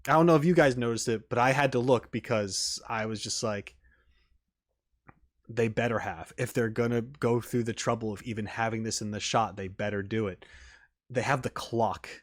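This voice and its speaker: A man's voice: medium pitch, steady tone, moderate pace. Casual man doing rambling reaction commentary. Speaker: reaction video host and streamer. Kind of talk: casual off-the-cuff commentary on game trailers.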